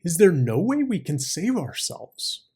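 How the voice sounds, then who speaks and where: A man plays a friendly storybook crab with a husky voice. The creature speaks slowly and earnestly, questioning with concern. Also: high-pitched, big pitch swings, dark tone, pitched above the performer's own voice, exaggerated voice.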